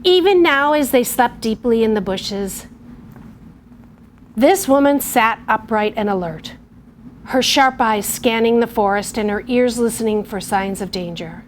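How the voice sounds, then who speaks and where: A middle-aged woman teacher, giving a lesson and reading a story aloud to young children in a patient, clear way. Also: on the high side, unhurried, a little hiss or hum. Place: television studio or classroom.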